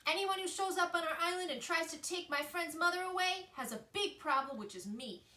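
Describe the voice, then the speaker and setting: A woman's voice: extremely high pitch, fairly quick, pitched above the performer's own voice. A woman as a brash, feisty squirrel. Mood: defiant. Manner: yelling, brash. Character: feisty, loyal squirrel yelling threats at robot. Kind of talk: children's storybook read-aloud. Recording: echoey room with faint noise.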